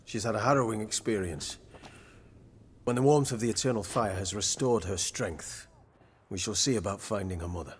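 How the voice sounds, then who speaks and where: A zealous, condescending witch hunter in a video game. The voice is low and raspy, with formal, pompous delivery. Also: normal speed, in a large hall. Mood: cold, condescending. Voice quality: husky, rough.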